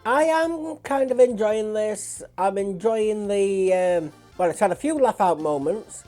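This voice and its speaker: A woman's voice: medium pitch, a little slow, warm tone, faint music behind. A woman hosting a reaction video with a blocked nose, chatting casually and apologetically to viewers. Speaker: reaction channel host.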